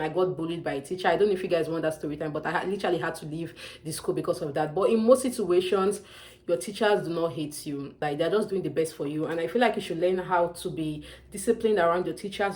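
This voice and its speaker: Young woman, nigerian accent, low voice, rapid delivery, chatty, emphatic, student vlogger. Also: a little mellow. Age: young adult. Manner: chatty, emphatic, often calls out "you guys". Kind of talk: casual advice vlog to camera.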